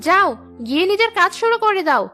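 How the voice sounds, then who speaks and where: A woman's voice: extremely high pitch, expressive, a little fast, pushed and bright, soft background music, exaggerated voice. A woman voicing a haughty, bossy mother-in-law in a cartoon. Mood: scornful, bossy. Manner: bossy, contemptuous. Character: haughty, scolding mother-in-law of a landlord household. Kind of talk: cartoon dialogue dub.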